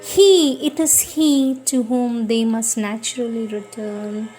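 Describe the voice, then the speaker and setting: A woman reading a devotional script in a measured, solemn manner. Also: fairly high pitch, steady tone, darkish tone, soft background music. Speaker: reader.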